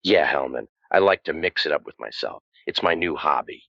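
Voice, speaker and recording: An actor playing a world-weary, wisecracking private eye in a hard-boiled radio drama, dry and cynical. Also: male voice, extremely low pitch, expressive, moderate pace, gravelly, sharp tone, husky, dry recording, muffled recording, full character voice. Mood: sarcastic. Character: sardonic, beaten-up male waterfront private eye, world-weary.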